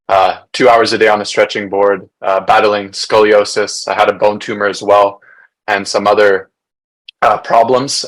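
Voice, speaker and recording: A man in his twenties from Bulgaria, a podcast guest telling his own story in an earnest, steady way. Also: fairly low pitch, poor audio. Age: 20s. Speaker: guest, co-founder. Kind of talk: personal story told in conversation.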